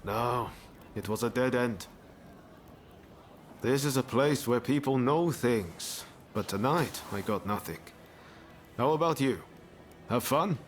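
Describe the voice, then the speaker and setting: An actor playing an easygoing, friendly samurai-era companion in a video game, talking in a casual, chummy way. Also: male voice, medium pitch, expressive, slow pace, long pauses, a little hiss or hum. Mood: wry, teasing. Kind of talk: video game cutscene acting.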